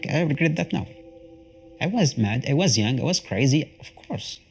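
A man in his thirties giving an interview in a small apartment, speaking haltingly and searching for words. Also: on the high side, very animated, creaky, soft background music. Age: 30s. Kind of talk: emotional interview.

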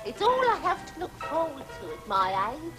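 Swooping, high-voiced elderly lady. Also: big pitch swings, normal speed. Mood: sweet, eager. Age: older. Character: sweet elderly lady neighbour, eager and cheerful. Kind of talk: sitcom acting before an audience. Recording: noisy studio audience, music behind.

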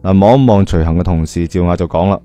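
A man's voice: low-pitched, fast, dark tone, smooth, faint music behind. A man with a Cantonese accent telling a story in colloquial, dramatic style, with chatty rhetorical asides.

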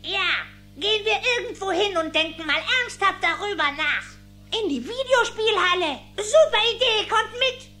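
A woman's voice: very high-pitched, animated, normal speed, sharp tone, faint music behind, full character voice. A woman voicing a cheeky, sheepish young boy duckling in a cartoon radio play. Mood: eager.

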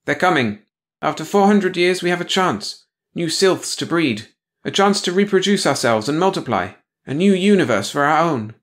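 An imperious alien matriarch from a sci-fi audiobook, acted out by a male narrator, pitched high and projected firmly. She is coldly logical and smug, giving brisk, dismissive commands. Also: expressive, lightly acted. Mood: self-satisfied.

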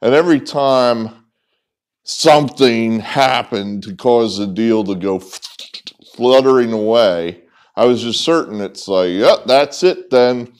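A middle-aged man giving opinionated, off-the-cuff sports commentary in an emphatic, halting and at times sarcastic way. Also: medium pitch, smooth. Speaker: sports vlogger, host. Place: indoors, small wood-walled barn room with whiteboards.

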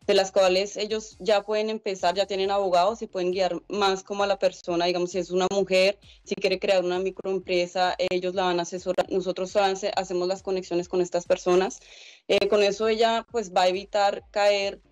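A woman's voice: medium pitch, flat delivery, fairly quick, dry recording. A young Colombian woman answering interview questions over a video call from a room at home, earnest and explanatory, with many "eh" and "pues" fillers. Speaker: guest, head of a migrant organization. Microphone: laptop webcam on a video call.

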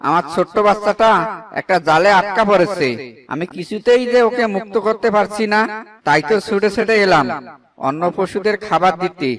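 Man as a high-pitched anxious cartoon fox. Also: a bit bright, far from the mic. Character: anxious, chatty fox parent of the forest. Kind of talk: Bengali children's cartoon dub.

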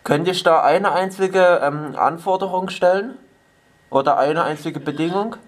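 A man voicing a polite, eccentric elderly prank caller in a stilted, formal, deadpan manner. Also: on the high side, measured pace, lightly acted. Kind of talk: improvised prank phone call. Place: small home kitchen, indoors.

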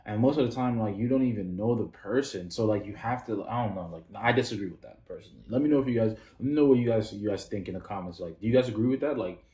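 A man's voice: on the low side, fast, hardly any pauses, echoey room. A man in his thirties hosting a reaction video, talking casually and full of "like" fillers. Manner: rambling, many "like" fillers, animated when disagreeing. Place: small indoor room.

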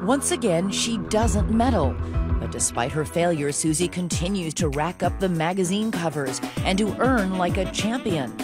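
A woman's voice: fairly low pitch, expressive, normal speed, over music. A woman reading scripted news magazine narration in a dramatic storytelling manner.

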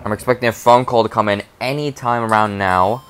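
A man's voice: lowish voice, steady tone, a little fast, a bit bright, faint music behind. A man giving casual let's play commentary, rambling as he plays and losing his voice. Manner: rambling, talks through his moves, restarts often. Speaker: gameplay commentator.

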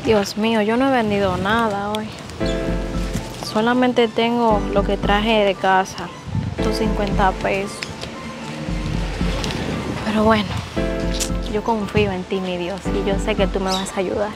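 Young woman acting as a kind young vendor, gentle, slow-spoken, slightly high, loud music behind. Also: flat delivery, lightly acted. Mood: prayerful. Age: young adult. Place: outdoors, rural roadside.

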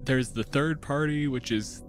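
A man's voice: medium pitch, a little slow, soft background music. A man co-narrating a tabletop game in a casual, improvised style.

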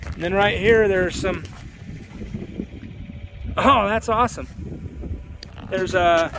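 A man in his forties talks casually as he drives and walks around, easygoing and quick to chuckle. His voice sits a little high. Engine rumble and wind noise run behind him. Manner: chatty, easygoing, chuckles often. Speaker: travel vlogger. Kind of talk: casual road trip commentary. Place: inside a pickup truck, then outdoors on windy open plain. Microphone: camera on dashboard and handheld selfie. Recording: noisy car and wind background.

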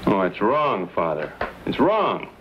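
A man's voice: on the low side, huge pitch swings, takes it slowly, full character voice. An American actor in his thirties playing a bold, easygoing, stubborn frontier fur trader in an old TV western. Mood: firm, insistent. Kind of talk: 1950s TV western acting. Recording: noisy vintage TV film soundtrack.

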